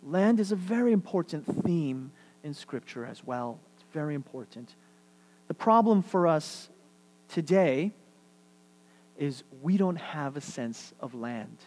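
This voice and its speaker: Earnest New preacher, higher-pitched man. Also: lively intonation, unhurried, a little mellow, rough recording. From New York City. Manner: earnest, repetitive, drops to near-whisper for emphasis. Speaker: pastor. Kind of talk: sermon.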